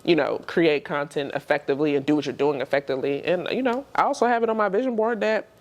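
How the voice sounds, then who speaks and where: A woman's voice: low voice, quick pace, a little mellow, highs cut off. A woman in her thirties, a podcast guest and entrepreneur, talking through her experiences in a reflective, conversational way. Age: 30s. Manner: reflective, conversational, frequent "you know what I'm saying". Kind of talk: casual interview conversation.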